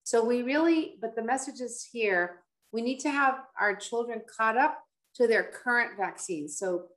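A middle-aged woman physician giving an informative slide presentation over a video call, explaining things in a conversational way. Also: medium pitch. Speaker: pediatric infectious disease physician, panelist. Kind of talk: informative slide presentation at an online town hall.

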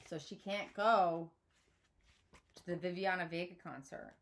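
A woman's voice: fairly low pitch, a little slow. A woman in her thirties narrating a children's book expressively, like a teacher reading aloud. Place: small indoor room at home.

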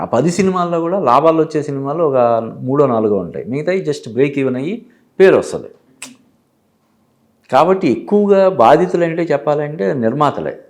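A man giving explanatory commentary as an interview guest, halting and repeating phrases as he goes. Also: a little high, darkish tone. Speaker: film industry analyst, studio guest. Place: TV studio.

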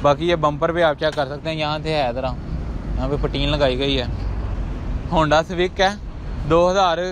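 A man with a Pakistani Urdu accent walks an outdoor used car market, listing prices and features in brisk salesman patter. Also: fairly high pitch. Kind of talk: walk-around car market vlog. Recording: noisy outdoor street recording.

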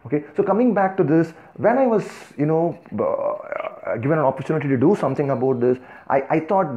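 A man from Tamil Nadu with a Tamil-flavored Indian accent, giving a free-flowing talk over slides, thinking aloud with many fillers and restarts. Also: medium pitch. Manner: thinking aloud with frequent "uh" and "you know", restarts and emphatic lists. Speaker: organization founder giving a talk. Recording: tinny phone-like audio.

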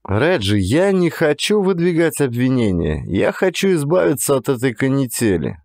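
A male reader voices a weary man who has been through an ordeal, in an audiobook thriller. He sounds sincere and reserved, at an average male pitch. The recording is dry, with no room sound. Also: sing-song, normal speed, creaky, a little mellow, no echo. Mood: reluctant.

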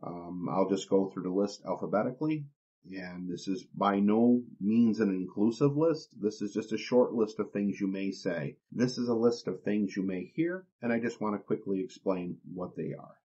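A man hosting an instructional podcast, explaining things in a plain, deliberate, step-by-step way. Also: lowish voice, dark tone, breathy edge. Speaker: podcast host. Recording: dull, lo-fi podcast recording.

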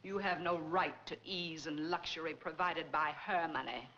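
A woman's voice: sits low, fairly even intonation, normal speed, bright, forceful tone. An American actress playing a stern, bitterly accusing middle-aged woman, severe and pointed, in a 1940s noir film. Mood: cold, accusing. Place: large living room film set. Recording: muffled, noisy 1940s film soundtrack.

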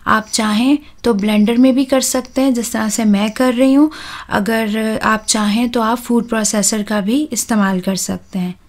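A woman, hosting a home cooking channel and talking calmly through a recipe step by step, with soft "uh" fillers. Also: fairly high pitch, normal speed. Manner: calm, instructive, with soft "uh" fillers.

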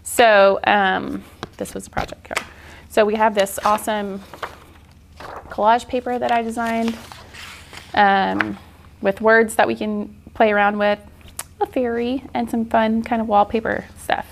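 Middle-aged woman, casual craft instructor, mid-range pitch, informal and encouraging, some studio noise behind. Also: flat delivery, measured pace, vocal fry, long pauses. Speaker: art journaling instructor. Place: indoor art studio, seated at work table.

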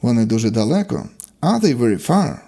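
A man's voice: medium pitch, big pitch swings, fairly quick, vocal fry, warm tone, slightly rough. A man teaching a language lesson, reading out short, separate example sentences in an instructional way. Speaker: English teacher.

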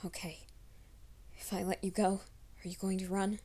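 A woman voicing a gentle, nervous young male werewolf in an ASMR roleplay, speaking softly and often in whispers. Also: mid-range voice, level tone, normal speed, husky, close to an everyday voice. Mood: soft reassuring. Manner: soft, hushed, often whispering.

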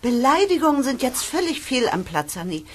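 High, animated gossipy schoolgirl. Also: a little fast, a little hiss or hum. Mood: urgent, dramatic. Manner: dramatic, insistent. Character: gossipy, secretive schoolgirl cousin, eager and touchy. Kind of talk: German radio play acting for young listeners.

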